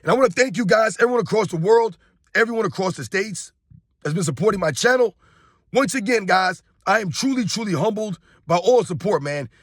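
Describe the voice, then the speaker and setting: A hoarse man in his thirties rants about sports straight to his viewers, emphatic and repetitive. His voice sits fairly high for a man, and the recording is close and dry with no room sound. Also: animated, fairly quick, a bit bright, no echo. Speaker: sports commentary YouTuber. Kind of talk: casual opinion rant to camera.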